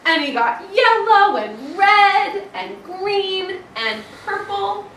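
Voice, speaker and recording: Expressive high-voiced woman teacher in echoey room. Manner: expressive storytelling, slow and emphatic. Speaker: primary school teacher. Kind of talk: reading a picture book aloud to a class. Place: indoor classroom, children present. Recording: echoey classroom from far off, some noise.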